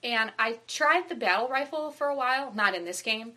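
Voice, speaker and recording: A woman co-hosting a casual gaming podcast, speaking hesitantly with many pauses and trailing thoughts. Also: a little high, expressive, pushed and bright. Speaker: podcast co-host.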